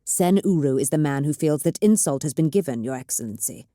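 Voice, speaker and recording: A woman's voice: low voice, expressive, a little fast, warm tone, no echo, studio quality. A woman voicing a defensive, hot-tempered nobleman who speaks heatedly.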